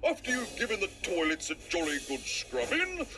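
A man's voice: sits high, wildly swooping pitch, moderate pace, music in the background. A man voicing a jolly, bossy cartoon knight.

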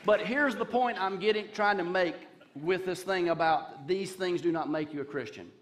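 A man's voice: high voice, normal speed. Folksy Southern man preaching on stage. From Charlotte, North Carolina. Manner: folksy, joking asides, gestures while pacing the stage. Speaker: guest pastor. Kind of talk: conversational sermon. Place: large dark church stage.

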